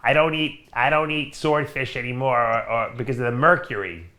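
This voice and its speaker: A man's voice: medium pitch, normal speed. A man hosting a casual podcast chat, joking and acting out quotes. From New York. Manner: animated, joking, acts out quotes. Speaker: podcast host.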